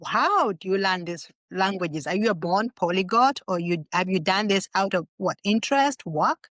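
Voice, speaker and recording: A woman's voice: medium pitch, lively intonation, moderate pace, fairly bright tone, dry recording, studio quality. A woman journalist who interviews her guest, asking polite and encouraging questions and offering short acknowledgments.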